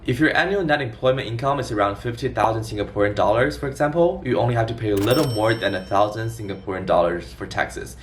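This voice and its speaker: A man in his twenties from China giving a scripted explainer vlog in a clear, organized way. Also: on the low side, lively intonation, fast, few pauses, recorded from a distance, slight background noise. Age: 20s. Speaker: vlogger.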